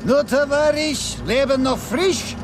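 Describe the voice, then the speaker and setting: High-pitched Russian-accented tank soldier. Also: male voice, slow. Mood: jovial. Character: rough, comradely male Red Army tank soldier. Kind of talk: German radio drama acting. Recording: battlefield and tank noise.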